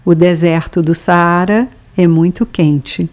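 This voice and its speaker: A woman with a Brazilian accent leads a scripted language lesson. She reads each phrase very slowly and deliberately, leaving room for the listener to repeat it. Her voice is mellow and sits a little low. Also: fairly even intonation. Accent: Brazilian Portuguese. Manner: slow, careful bilingual reading with pauses for repetition. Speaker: language teacher.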